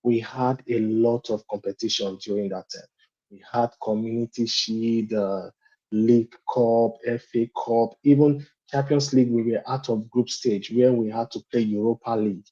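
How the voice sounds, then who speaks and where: A man with a mellow, husky voice lays out his view at a measured pace, often stopping to ask if he is understood. The sound is dull and lo-fi, like audio from a call. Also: medium pitch, fairly even intonation. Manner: deliberate, often asks "you understand?". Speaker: guest fan. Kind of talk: opinionated call-in discussion.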